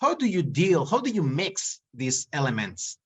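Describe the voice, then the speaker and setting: A man's voice: on the high side, wildly swooping pitch, moderate pace, slightly rough. A man from Chile with a Chilean accent in English, a native Spanish speaker, politely asking interview questions.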